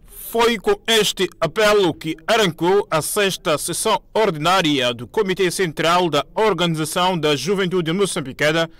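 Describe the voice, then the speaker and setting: A man's voice: sits high, moderate pace, fairly bright tone, no echo. A man with a Mozambican accent narrating a scripted television news report.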